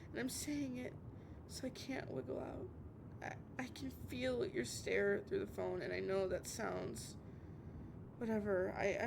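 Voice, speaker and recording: A woman's voice: vocal fry, heavily acted. A woman playing an obsessive, heartbroken young woman on a late-night call, stammering and repeating herself in a dramatic audio roleplay monologue. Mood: resolute, nervous, then conflicted, firm. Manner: halting, stammering, repeating words. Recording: noisy with low rumble.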